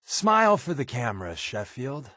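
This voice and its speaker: An actor voicing a sly, wisecracking spy sidekick in a video game, with an American accent and a casual, sardonic way of talking. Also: male voice, medium pitch, very animated, moderate pace, pushed and bright, no echo, clean studio sound. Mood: dry, wry. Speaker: video game companion character. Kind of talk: video game voice lines, conversational banter.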